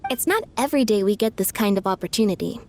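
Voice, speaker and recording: A woman's voice: medium pitch, lively intonation, fast talker, a little mellow. A woman playing a reticent, cool young woman in a video game, with a dry, blunt, understated delivery. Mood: deadpan.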